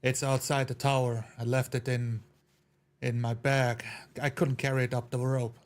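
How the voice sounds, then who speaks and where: A man's voice: mid-range voice, flat delivery, normal speed. A man casually describing his character's actions as a player in a tabletop roleplaying game.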